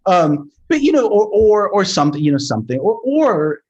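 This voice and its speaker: A man in casual conversation, explaining his work in a halting way, thinking aloud and repeating words as he goes. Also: high voice, very animated, a little mellow, dull audio. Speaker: sound designer, interview guest.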